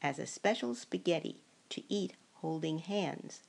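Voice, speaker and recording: An older woman voicing a bemused storybook wise man who makes musing, drawn-out pronouncements. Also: lowish voice, lively intonation, vocal fry, a little mellow, exaggerated voice. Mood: pondering.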